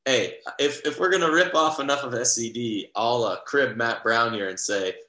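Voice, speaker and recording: Young male podcast co-host, rambling sports chatter, bright tone, echoey room. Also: mid-range voice, normal speed. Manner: rambling, lots of restarts and fillers. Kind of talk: casual sports podcast chat.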